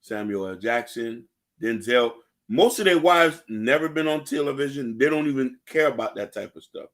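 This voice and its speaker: A man's voice: medium pitch, expressive, moderate pace, a bit bright. A man hosting an opinionated talk show, emphatically putting rhetorical questions to listeners. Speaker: podcast host.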